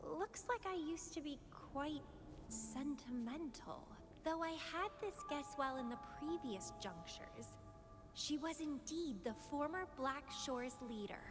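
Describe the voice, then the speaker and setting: An unhinged, lovelorn young woman fighter in a video game. Her voice sits high and moves slowly through a theatrical, brooding monologue. Clear dramatic music plays behind her. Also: animated. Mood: wistful.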